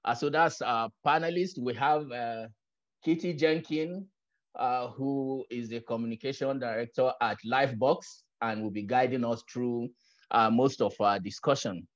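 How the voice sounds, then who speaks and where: A man's voice: medium pitch, steady tone, measured pace. A man chairing a panel discussion over a video call, speaking hesitantly with many fillers. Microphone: laptop camera on a video call. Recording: dull video call audio.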